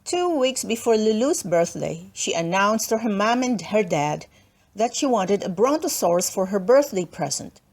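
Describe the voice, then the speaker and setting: A woman's voice: mid-range voice, big pitch swings, moderate pace, darkish tone. A woman narrating a children's storybook expressively, with dramatic emphasis and playful asides. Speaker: storybook reader.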